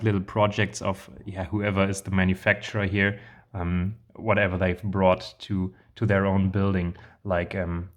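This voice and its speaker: A man with a somewhat low voice, still hoarse from a recent illness. He talks through a casual review, stopping often on fillers and restarting his thoughts. He stays keen throughout. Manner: hesitant, many ums, enthusiastic. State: hoarse, recovering lost voice. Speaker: channel host reviewing building sets. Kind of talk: casual product review vlog.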